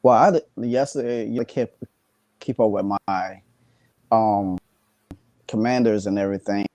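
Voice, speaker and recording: A sleepy man joining a sports talk show as a guest, speaking haltingly with many fillers and restarts. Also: lowish voice, darkish tone, no echo.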